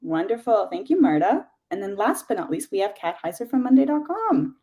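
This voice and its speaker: A woman's voice: medium pitch, very animated, brisk, mellow. A woman hosting an online panel discussion in an informal, effusive and chatty manner. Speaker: event host and panel moderator.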